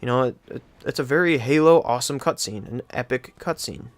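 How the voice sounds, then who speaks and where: A man's voice: mid-range voice, fairly quick. A man hosting a gaming countdown with casual, informal and enthusiastic commentary. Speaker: gaming video host.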